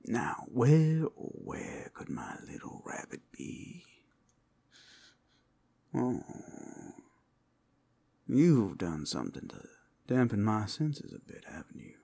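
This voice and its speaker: A very low, gravelly werewolf acted out by a man for an ASMR roleplay, teasing and possessive. He stretches his words slowly and swings widely in pitch, with a breathy growl in his murmur. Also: wildly swooping pitch, mellow, heavily acted. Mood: playful hunting.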